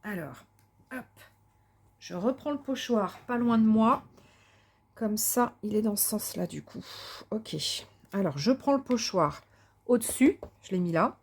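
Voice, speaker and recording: A woman's voice: mid-range voice, expressive, a little slow, pauses often, husky. A woman vlogger chatting casually while she crafts, thinking aloud with many fillers and restarts, enthusiastic and sometimes muttering to herself. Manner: enthusiastic about colours; talks to herself softly while working.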